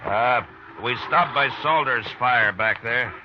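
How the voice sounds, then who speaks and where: An actor playing a calm, shrewd and grave frontier marshal in an old-time Western radio drama. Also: male voice, medium pitch, slow, background noise, natural voice. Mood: friendly, casual. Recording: dull old radio recording.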